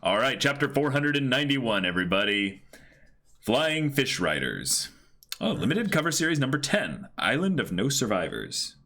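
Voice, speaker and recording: A man hosting a livestreamed reading, chatting casually and exclaiming in an animated way. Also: mid-range voice, normal speed. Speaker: host of a livestreamed manga dramatic reading. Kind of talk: casual live stream chat with comic asides.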